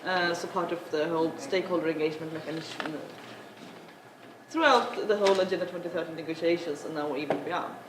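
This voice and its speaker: Young woman on a panel, halting with lots of fillers, lowish voice in an echoing room with clear background noise. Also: fairly even intonation. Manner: halting, many filler sounds. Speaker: panel speaker. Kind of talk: conference talk. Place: large conference room. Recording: echoey conference room, noisy.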